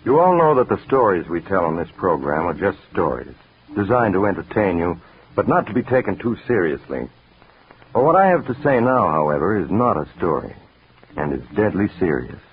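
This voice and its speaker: A man's voice: low voice, big pitch swings, moderate pace. A male radio host reading an earnest, scripted public appeal in an old broadcast. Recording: lo-fi 1940s radio recording, background noise.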